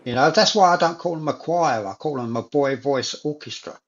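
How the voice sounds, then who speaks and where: A man's voice: on the high side, a little fast, highs cut off. A man in a casual chat, talking enthusiastically and repeating phrases for emphasis.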